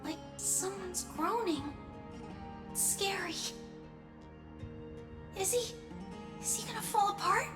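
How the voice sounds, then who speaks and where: Very high-pitched timid little girl. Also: slow pace, pauses often. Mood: frightened. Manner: hesitant, wondering, naive. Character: small innocent girl, curious and timid. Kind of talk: scripted video game drama acting. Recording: echoey, music under the voice.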